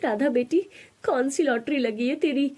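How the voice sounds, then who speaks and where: A woman's voice: high-pitched, moderate pace, a little mellow, voice pushed up, close to an everyday voice. A woman voicing a money-minded mother in a moral story, gushing and wonderstruck. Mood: amazed.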